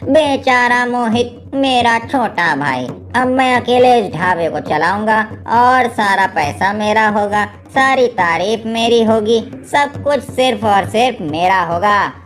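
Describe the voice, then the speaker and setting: A woman voicing a greedy, bossy, jealous elder brother in an animated moral story, with a brooding, self-important manner. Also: fairly high pitch, takes it slowly, hardly any pauses. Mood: gloating.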